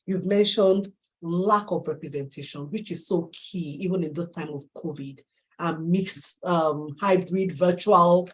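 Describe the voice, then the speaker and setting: A woman with a Nigerian accent hosting a live interview, affirming her guest warmly and laughing often. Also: fairly low pitch, unhurried. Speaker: host.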